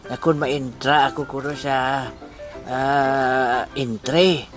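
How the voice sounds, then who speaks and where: A man's voice: medium pitch, steady tone, very slow, a bit bright, soft background music. A man playing a scheming, money-minded village man in a comedy audio drama.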